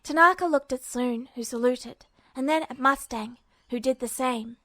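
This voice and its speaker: A woman reading fanfiction aloud as podfic narration in a steady, even manner. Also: high-pitched, moderate pace, no echo. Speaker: fanfiction reader.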